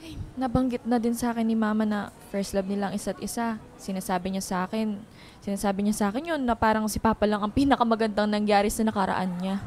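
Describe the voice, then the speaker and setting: A woman's voice: medium pitch, fairly even intonation, fast, darkish tone, a little hiss or hum, lightly acted. A young woman playing a wounded, brooding daughter in a radio drama, plaintive and conversational, mixing in some English. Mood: bitter, wistful.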